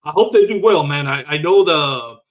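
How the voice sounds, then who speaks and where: A man's voice: on the high side, expressive, moderate pace, low-quality recording. A man from Puerto Rico hosting a casual live interview show, chatting in a conversational way. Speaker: show host.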